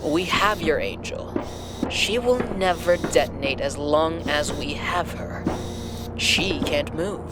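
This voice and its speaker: A woman's voice: low voice, slow. An actor playing a cold, taunting female villain machine ruler in a sci-fi radio drama. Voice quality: mocking, taunting. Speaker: Nova Lord villain. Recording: loud music score behind.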